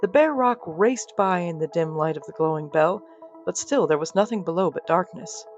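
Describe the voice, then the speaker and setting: A woman's voice: fairly low pitch, expressive, normal speed, lo-fi sound, faint music behind. A woman narrating scripted comic fiction as a storyteller, with wry, dry asides.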